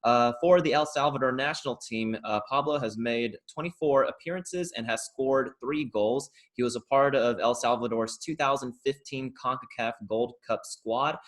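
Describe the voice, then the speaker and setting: A man hosts a podcast in an ordinary mid-pitched voice. He asks his questions hesitantly, with many pauses and restarts, over a video call. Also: fairly bright tone. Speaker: podcast host. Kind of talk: casual podcast interview.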